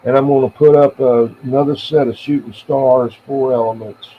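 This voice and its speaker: An American man in his seventies chatting casually on CB radio in a halting, rambling, reminiscing way. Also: mid-range voice, fairly even intonation. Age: 70s. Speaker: CB radio operator and live streamer. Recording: tinny band-limited radio audio with faint noise.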